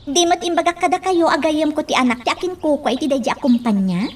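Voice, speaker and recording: A woman's voice: high voice, quick pace, natural voice. A woman playing a chatty young woman in an Ilocano radio romance drama. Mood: confiding.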